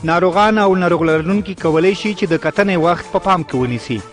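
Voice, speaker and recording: A man reading an advertisement as an announcer, in a rapid, even reading voice. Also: high voice, soft background music. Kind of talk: read advertisement.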